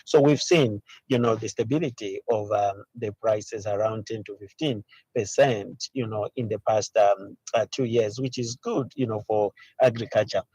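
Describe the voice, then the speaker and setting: A man with a Zambian accent giving an expert interview comment, halting often with filled pauses. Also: lowish voice, mellow, husky, no echo, low-quality recording. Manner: halting, with frequent "you know" and filled pauses. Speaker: agriculture expert.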